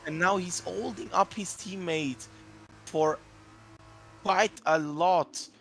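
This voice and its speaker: A man's voice: high-pitched, slow, vocal fry, fairly bright tone, slight background noise. A man co-commentating a sim race live, hesitant and full of fillers, with slightly non-native phrasing.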